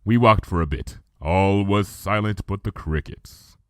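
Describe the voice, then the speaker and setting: A man reading a fan fiction story aloud, calm storytelling narration. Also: sits low, measured pace, clear, resonant tone, dry recording, clean studio sound. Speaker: reader. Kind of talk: first-person audiobook narration.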